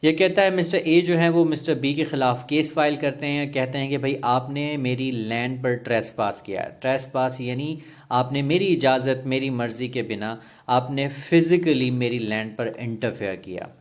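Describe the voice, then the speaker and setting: A man's voice: medium pitch, moderate pace. A man with a Pakistani accent teaching exam preparation, reading questions and options aloud and explaining them step by step while mixing in English terms. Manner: code-switches into English legal terms, paraphrasing step by step. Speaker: law exam tutor.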